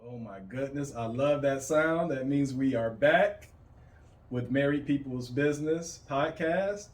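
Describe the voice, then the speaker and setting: A man's voice: medium pitch, a little slow, faint music behind. A man hosting a casual podcast interview. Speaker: podcast host. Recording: roomy, distant mic, faint noise.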